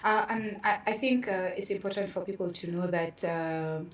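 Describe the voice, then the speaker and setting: A woman with a Kenyan accent telling stories casually as an interview guest, acting out remembered dialogue in an animated way. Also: mid-range voice, moderate pace. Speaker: interview guest, theatre practitioner. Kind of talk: casual conversational storytelling. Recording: roomy echo, distant from mic.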